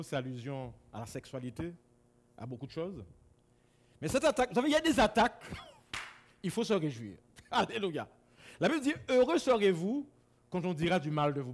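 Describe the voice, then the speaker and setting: Male preacher from Guadeloupe, lively, fairly high voice, loud bursts and hushed asides. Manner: swings from loud exclamations to low asides, repeats words. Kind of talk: sermon. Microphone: camera-recorded.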